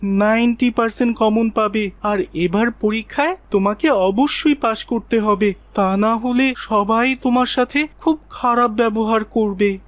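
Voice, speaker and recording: A woman's voice: on the high side, unhurried, dry recording, natural voice. A woman voicing a kind, principled schoolboy and protective friend, earnest and reassuring, in a moral cartoon for children. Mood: comforting.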